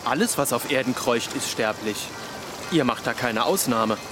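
An actor playing a vain, melodramatic young eighteenth-century poet in a radio drama, with pompous, florid, old-fashioned diction. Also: male voice, medium pitch, normal speed, background noise, close to an everyday voice. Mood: irritated. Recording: loud outdoor ambience, running water behind voice.

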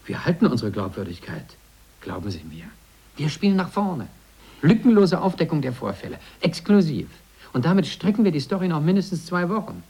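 An actor playing a calculating, persuasive media executive in a radio drama, measured yet insistent. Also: male voice, fairly high pitch, very animated, warm tone, husky, exaggerated voice. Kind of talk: 1980s German radio drama acting.

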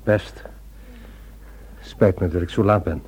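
A man acting in a radio play as a weary, guilt-ridden husband, speaking in an uneasy, halting way. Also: sits low, steady tone, a little mellow, breathy edge, exaggerated voice. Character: tired, guilt-ridden husband, haunted and defensive.

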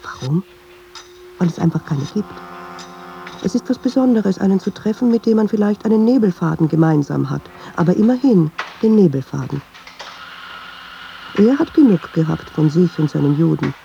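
Very dark creaky voice, brooding self-questioning woman, wry, radio play, dull noisy recording. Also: fairly low pitch, expressive, normal speed, pauses often. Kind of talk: radio play, introspective monologue.

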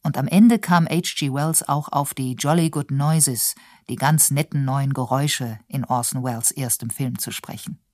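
A woman's voice: a bass voice, steady tone, moderate pace, few pauses, a little mellow, no room sound, studio quality. A woman reading scripted narration for a radio documentary.

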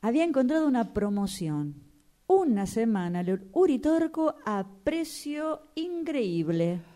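A woman's voice: on the high side, sing-song, a little slow, dark tone. A woman playing a gullible, superstitious, easily indignant woman with an Argentine accent in a radio drama, telling her troubles emphatically. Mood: confiding.

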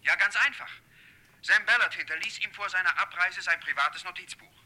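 An actor playing an urgent male ally reporting grim news in a vintage radio crime drama, brisk and heard through a telephone filter. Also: sits high, sharp tone, poor audio.

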